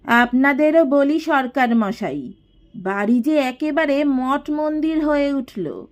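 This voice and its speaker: A woman's voice: high-pitched, slow, pitched above the performer's own voice. A woman voicing a haughty, scheming older matriarch in an audio story, imperious and sarcastic. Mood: sly, insinuating. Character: haughty, scheming, domineering elder matriarch of a declining household.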